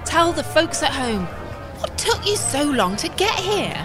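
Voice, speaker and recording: A woman's voice: high voice, very animated, unhurried, music in the background. A woman playing a gushing, patronising live TV reporter in a comedic audio drama, breezy and showy. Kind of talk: parody live news broadcast in audio drama.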